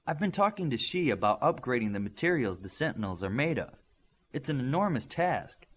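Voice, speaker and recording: Casting: male narrator as businesslike woman scientist, precise and measured, varied pitch, slightly rough tone. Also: sing-song. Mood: quietly pleased. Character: brisk, practical adult female scientist, quietly pleased.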